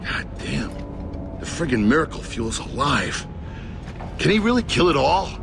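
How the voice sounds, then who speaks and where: A man's voice: a little high, very animated, a little slow, vocal fry, exaggerated voice. An actor playing a sarcastic male soldier who swears freely and jokes grimly. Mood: sardonic. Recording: game audio with music.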